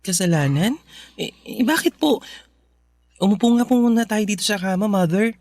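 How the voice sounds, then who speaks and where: A man's voice: sits high, lively intonation, moderate pace, a little mellow, dry recording, studio quality. A man with a Filipino accent playing a respectful, puzzled college-age son in a radio drama, hesitant and questioning. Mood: confused, gentle.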